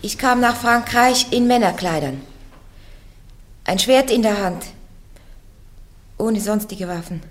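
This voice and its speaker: A woman's voice: medium pitch, close to an everyday voice. An actress in a German radio play playing a young peasant girl on trial for heresy, answering her judges in short, defiant replies.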